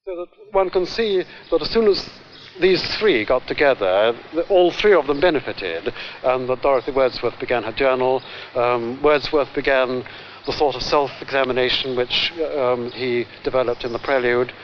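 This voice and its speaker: A man giving unscripted expert commentary, halting as he searches for phrases. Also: medium pitch, highs cut off, a little hiss or hum. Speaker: literary expert interviewee.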